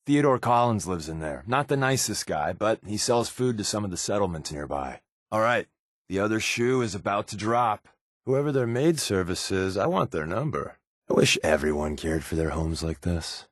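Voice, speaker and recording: A man's voice: mid-range voice, a bit bright, no room sound, studio quality. A man voicing a wry, sarcastic undercover agent in a post-apocalyptic video game, tossing off conversational quips. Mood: wry, casual. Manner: wry, sarcastic, playful asides. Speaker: video game companion character voice actor.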